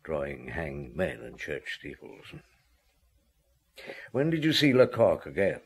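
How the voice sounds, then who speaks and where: An actor in a radio drama playing a calm, probing police detective who speaks tersely, with measured questions. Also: male voice, rumbling low, slightly rough, exaggerated voice.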